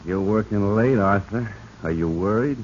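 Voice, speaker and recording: A man's voice: fairly low pitch, moderate pace, darkish tone, close to an everyday voice. An actor playing a drunken, coldly threatening man in a 1940s radio drama, taunting in a cool, controlled way. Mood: cool, insinuating. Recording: dull, noisy 1940s radio recording.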